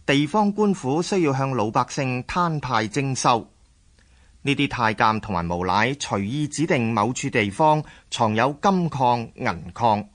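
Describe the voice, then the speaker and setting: A man narrates scripted history for a radio drama in a Hong Kong Cantonese accent. His pitch is medium and his delivery very slow and measured, like formal storytelling. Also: a bit bright.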